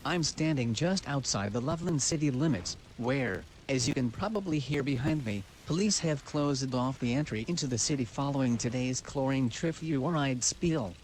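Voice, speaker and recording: Man as disaster-scene correspondent, mid-pitched, factual and explanatory, with light background noise. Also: a little mellow, natural voice. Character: male field news correspondent at a disaster scene. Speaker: news correspondent. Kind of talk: scripted on-scene news report.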